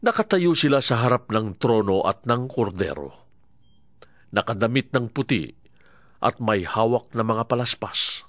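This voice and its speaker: A man reading dramatic Bible narration in a solemn, deliberate manner. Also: medium pitch, expressive, fairly bright tone, dry recording, clean studio sound.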